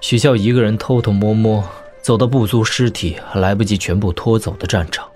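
An actor playing a weary, tender young lord and elder brother in an audio drama, speaking in a measured, reflective way. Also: male voice, on the low side, steady tone, a little mellow, soft background music, lightly acted. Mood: sorrowful, reflective. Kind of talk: audio drama dialogue in Mandarin wuxia serial.

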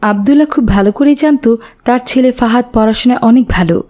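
A woman reads scripted story narration. Her delivery is quick, and her voice is mellow and a little high.

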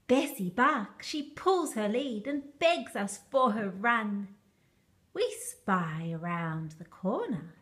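A woman reading a children's picture book aloud in an expressive, measured storytelling style. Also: mid-range voice.

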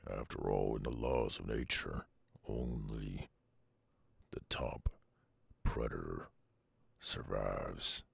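A brooding Southern werewolf for an ASMR roleplay. The voice is rock-bottom low and thick with gravel, and it moves at a slow drawl, like a gruff loner speaking his mind. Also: no echo, exaggerated voice. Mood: grim, brooding. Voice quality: very gravelly, husky.

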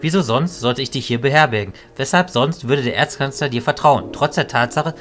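Man playing a calm, stern mentor. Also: mid-range voice, natural voice. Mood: measured. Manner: measured, firm, instructive.

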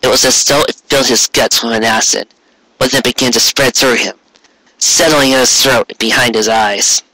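Bright, intense male story narrator. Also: mid-range voice, fairly even intonation, normal speed, no room sound, rough recording. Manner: intense, occasional stumbles over words. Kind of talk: dramatic reading of fan fiction.

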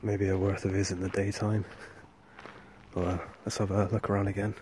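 A man vlogging while exploring abandoned mill grounds at night, talking in a hushed, hesitant way with many fillers, recorded on a handheld camera outdoors. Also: sits low, level tone, brisk. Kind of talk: casual vlog narration. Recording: faint outdoor background noise.

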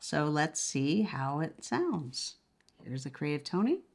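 A woman's voice: deep voice, sing-song, takes it slowly, vocal fry. A woman reviewing a product casually, explaining step by step in a conversational way. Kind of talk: casual unboxing and demonstration.

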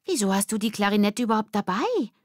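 A woman voicing a bossy, excitable young schoolgirl in an audiobook, urgent and emphatic. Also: mid-range voice, fast talker, dry recording, clean studio sound, voice pushed up. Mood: puzzled.

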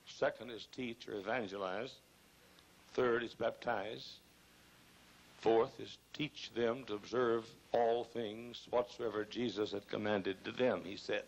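Hoarse older man preaching in church. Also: fairly low pitch, steady tone, slow pace, highs cut off. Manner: emphatic, repeats phrases, rises to loud bursts. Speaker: pastor. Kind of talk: fiery sermon. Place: large church auditorium, choir behind. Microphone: pulpit microphone.

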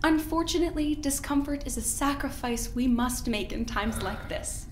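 A woman's voice: sits high, normal speed, close to an everyday voice. A woman playing a haughty scientist in charge of experiments in an audio drama, mock-polite, condescending and theatrical. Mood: coolly menacing. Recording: roomy echo, faint background noise.

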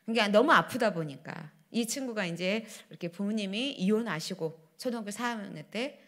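A middle-aged woman pastor preaching a sermon at a church pulpit, swinging between loud declarations and hushed asides. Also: medium pitch, animated, moderate pace. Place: church sanctuary, standing at pulpit. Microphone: pulpit microphones.

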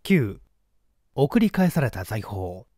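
A man narrating a mystery novel as a dramatic literary reading, with theatrical, deliberate phrasing. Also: fairly low pitch, sing-song, warm tone, no room sound, clean studio sound. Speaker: audiobook narrator.

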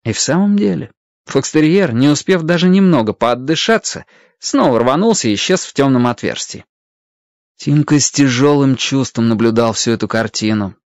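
A man narrating a dramatized story as an expressive storyteller. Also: mid-range voice, moderate pace, no room sound.

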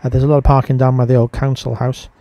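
A man's voice: mid-range voice, level tone, quick pace, dry recording, lo-fi sound. A man giving a casual voice-over review as a vlogger.

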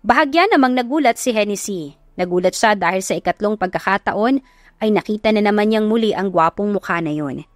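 A woman narrates a romance audiobook from a script. Her voice sits at a medium pitch. The recording is dry, with no room sound. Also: expressive, brisk, no room sound.

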